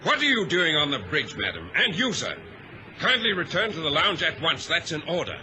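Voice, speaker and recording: An actor in a vintage radio drama playing an authoritative ship's captain who gives clipped, formal orders. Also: male voice, a little high, sing-song, fairly quick, pushed and bright, exaggerated voice, poor audio. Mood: stern, dismissive. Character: commanding male captain of a troubled passenger ship, privately uneasy. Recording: old radio drama recording, faint noise.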